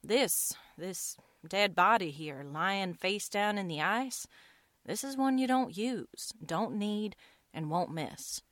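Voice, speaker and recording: A woman playing a calm, steely heroine in a western radio drama, speaking in a measured way. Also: mid-range voice, no echo. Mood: calm, assured. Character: calm, steely slight young heroine with a shotgun.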